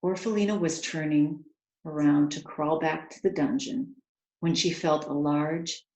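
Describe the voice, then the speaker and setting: A woman's voice: low-pitched, steady tone, measured pace, a little mellow. A woman reading a children's story aloud as its author, narrating with dramatic, earnest expression. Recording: roomy, echoing home recording.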